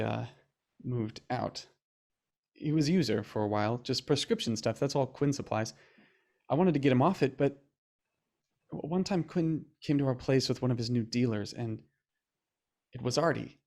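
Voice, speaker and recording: A man's voice: medium pitch, vocal fry, darkish tone, natural voice. Awkward, earnest young man, played by an actor. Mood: confessional. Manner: hesitant, halting, fumbling for words. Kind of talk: naturalistic audio drama acting.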